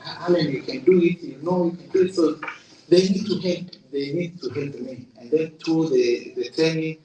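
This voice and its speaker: A man's voice: a little high, mellow, lo-fi sound, a little hiss or hum. Man, heartfelt testimonial, stumbles and repeats, recorded off-mic with room echo. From Congo. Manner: earnest, halting, repeats words. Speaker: program participant. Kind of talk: testimonial interview. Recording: roomy sound from a distant mic.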